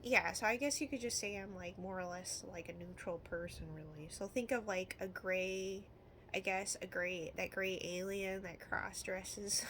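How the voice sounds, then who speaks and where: A woman's voice: medium pitch, measured pace, a bit bright. A woman from Illinois with a Midwestern American accent, talking in a casual, rambling vlog style with frequent trailing asides, recorded with some noise. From Illinois, United States.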